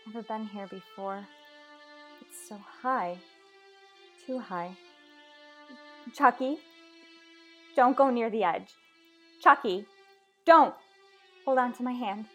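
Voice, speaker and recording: A woman playing an anguished, pleading mother in an old-time radio soap, speaking in dramatic, halting phrases. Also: on the high side, fairly bright tone, faint music behind. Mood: fearful, dreaming. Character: anguished, pleading mother afraid for her child.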